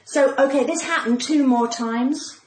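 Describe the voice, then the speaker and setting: A woman's voice: high voice, measured pace, darkish tone. A middle-aged woman with a cut-glass British accent, a comedian telling stories in a theatrical, conversational way and acting out the dialogue. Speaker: comedian and podcast guest. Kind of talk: animated conversational storytelling. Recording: roomy echo, faint background noise.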